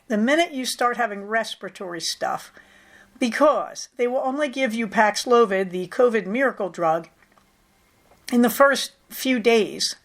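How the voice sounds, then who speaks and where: A middle-aged woman hosting a knitting podcast, chatting through her projects in a wry, matter-of-fact way while she recovers from a cold. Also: a little high. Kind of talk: casual chatty project update.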